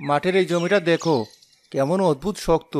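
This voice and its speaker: An actor playing an honest young farmer and younger brother in a cartoon, earnest and respectful. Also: male voice, a little high, brisk, a little hiss or hum, natural voice. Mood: worried. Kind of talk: cartoon dialogue.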